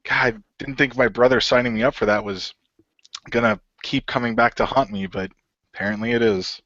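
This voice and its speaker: An easygoing middle-aged man played by a male amateur actor in a radio play, puzzled and a little awkward. His voice is medium in pitch and casual, recorded dry and slightly muffled. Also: steady tone, a little fast, a bit bright, dry recording, lightly acted. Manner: hesitant, casual.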